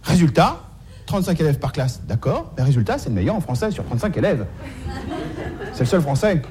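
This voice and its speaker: A man's voice: a little high, big pitch swings, a little fast, crowd noise, background noise. A man doing a stand-up comedy monologue in slangy, streetwise, mock-naive style, repeating phrases as he goes, on a live stage recording.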